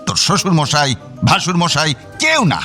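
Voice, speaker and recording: A man's voice: a little high, wildly swooping pitch, normal speed, pushed and bright, soft background music, full character voice. A man playing a boastful, playful elderly husband and father-in-law in an audio drama, theatrical and teasing.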